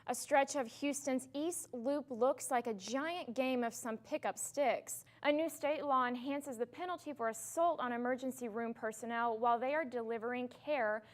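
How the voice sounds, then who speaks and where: High-voiced woman reporting TV news. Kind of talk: scripted news narration.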